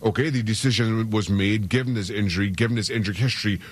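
A man's voice: fairly low pitch, fast talker, slightly rough. A middle-aged man from Trinidad and Tobago, a soccer analyst on sports radio, speaking earnestly and often repeating words as he gathers his thoughts. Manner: earnest, frequent stammered repeats.

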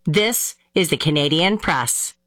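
Woman reading crisp radio sports news. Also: low voice, animated, measured pace, fairly bright tone, dry recording, studio quality. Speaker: news reader.

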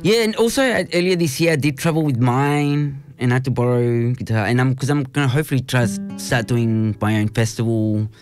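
Young male musician guest, mid-range voice, informal and self-deprecating, casual studio chat with clear instrumental music behind. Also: mid-range voice, brisk, hardly any pauses. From born in Chile. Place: radio studio.

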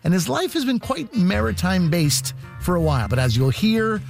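A man's voice: high-pitched, big pitch swings, normal speed, vocal fry, soft background music. A male host introducing the next comedian on a comedy stage.

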